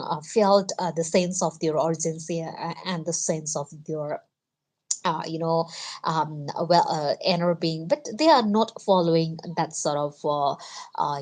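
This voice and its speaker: A woman who is not a native English speaker gives a solo motivational talk on a livestream, halting with many fillers and lifting her pitch at each new sentence. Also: darkish tone. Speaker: livestream host.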